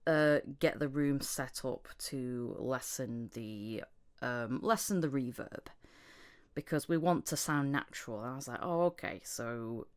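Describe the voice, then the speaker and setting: A woman with a low-pitched voice hosts a casual podcast chat. She speaks conversationally and anecdotally, like a voice actor swapping shop talk with a colleague. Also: measured pace, clean tone. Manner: anecdotal, acting out reported conversations.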